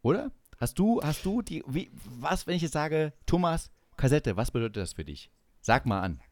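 A man's voice: on the high side, big pitch swings, normal speed, no room sound, clean studio sound. A man in his forties from East Germany chatting casually as a podcast co-host, telling stories with teasing banter. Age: 40s. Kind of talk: casual chat, storytelling.

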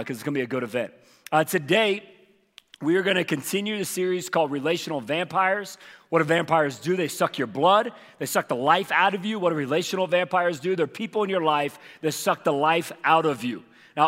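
A man preaching as lead pastor, hoarse from losing his voice, talking casually with many fillers. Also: on the high side, fairly bright tone, long reverb tail. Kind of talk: sermon with announcements. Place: church auditorium.

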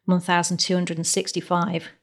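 A gentle young man in a romance audiobook, read by a woman, earnest and apologetic. He is a reluctant boyfriend, pitched like the narration around him. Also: monotone, moderate pace, creaky. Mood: quiet, awkward.

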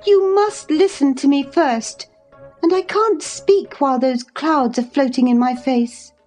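A woman's voice: sits high, a little slow, a little mellow, faint music behind, pitched above the performer's own voice. A woman voicing a petulant, pleading young woman from a novel, earnest and insistent.

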